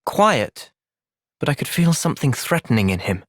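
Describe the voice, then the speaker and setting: A man playing a gentle, thoughtful young soldier hero in a video game, earnest and reflective in manner. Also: medium pitch, sing-song, moderate pace, dry recording, studio quality. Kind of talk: video game cutscene voice acting.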